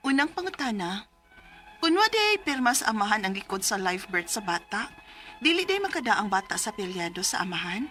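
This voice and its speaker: A woman's voice: on the high side, bright, forceful tone. A woman acting a troubled mother earnestly reading her letter for advice in a radio drama, with a Cebuano accent.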